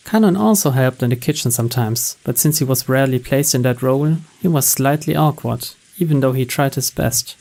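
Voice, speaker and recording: A man's voice: medium pitch, a little fast, creaky, hardly any pauses, a little mellow. A man reading a visual novel's story text aloud as scripted narration. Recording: music and rain behind.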